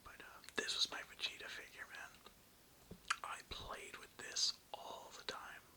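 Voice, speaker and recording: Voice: unhurried, sharp tone. A person whispering a casual, slangy, nostalgic ASMR ramble in a hesitant, meandering way, close to the microphone. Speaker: ASMR video creator.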